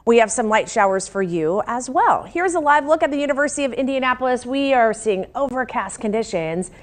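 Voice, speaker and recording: An American woman presents live on a television newscast. Her voice sits on the high side, and she talks through forecasts and news items as a broadcast presenter. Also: animated, brisk.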